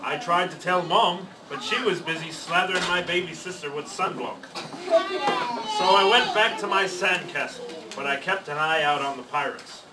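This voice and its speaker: A man in pirate costume reading a picture book aloud to children, shouting the pirates' lines in a gruff voice. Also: high-pitched, expressive, moderate pace. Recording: roomy, distant, faint background noise.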